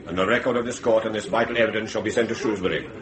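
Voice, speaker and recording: A man's voice: fairly low pitch, steady tone, racing, lightly acted. A man playing an authoritative medieval court official in a radio drama, formal and commanding. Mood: formal, measured. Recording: courtroom scene with crowd noise behind.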